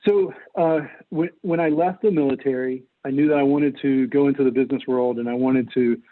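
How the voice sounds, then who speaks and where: A man's voice: medium pitch, fairly even intonation, brisk. American man on a tinny, phone-like line. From North Carolina, United States. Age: middle-aged. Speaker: political candidate, lawyer. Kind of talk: interview answers, campaign talk.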